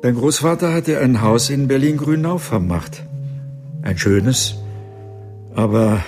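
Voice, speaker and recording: A man's voice: mid-range voice, lively intonation, takes it slowly, darkish tone, faint music behind. An older actor playing an upright, authoritative senior lawyer in his seventies in a radio drama. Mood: sober, insistent. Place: studio.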